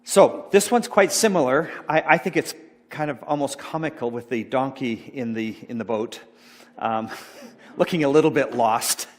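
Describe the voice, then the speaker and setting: Middle-aged male pastor, rambling and halting delivery, mid pitch, long hall reverb. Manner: rambling, halting, many fillers and restarts. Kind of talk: informal sermon talk about slides. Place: church sanctuary.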